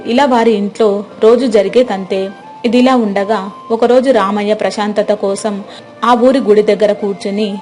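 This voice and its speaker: A woman's voice: medium pitch, darkish tone. A woman reading a scripted story as a storyteller. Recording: music behind the voice. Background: background music.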